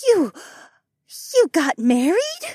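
Woman acting a high-pitched, flustered, emotional mom. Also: big pitch swings, slow, no echo, clean studio sound, full character voice. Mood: shaken, tender. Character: short, flustered, emotional middle-aged mother of grown son. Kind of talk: audiobook character acting.

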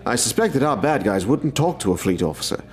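An actor playing a brisk male military major who briefs in a businesslike way. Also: medium pitch, very animated, lightly acted. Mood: serious.

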